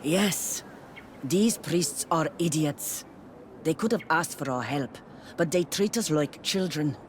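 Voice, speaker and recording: A proud herbalist mother of an island clan in a fantasy game. She is blunt and terse, with a low female voice over faint outdoor noise. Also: slow. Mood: proud, stern. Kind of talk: video game dialogue acting. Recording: outdoor ambience noise behind voice.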